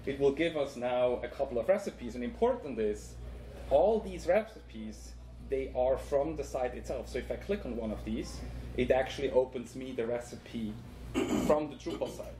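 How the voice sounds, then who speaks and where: A man with a Swiss accent walks an audience through a live demo at a conference, stopping often on "um" and explaining step by step. His voice sits at a middle pitch. The hall around him sounds echoey, with a faint hiss underneath. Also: moderate pace. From Switzerland. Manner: relaxed, many ums, explaining step by step. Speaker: conference speaker. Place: conference room. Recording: echoey room with faint noise.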